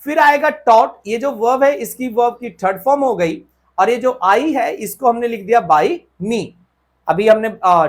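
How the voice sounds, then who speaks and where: A woman with an Indian accent teaching a grammar lesson step by step, repeating key points and checking that listeners follow. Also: a little high. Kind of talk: instructional lesson, explaining step by step.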